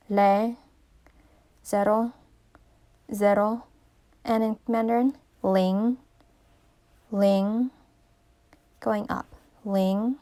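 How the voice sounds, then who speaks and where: A woman whose first language is English, slowly teaching number pronunciation and repeating each word carefully. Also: mid-range voice, darkish tone. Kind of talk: slow pronunciation lesson.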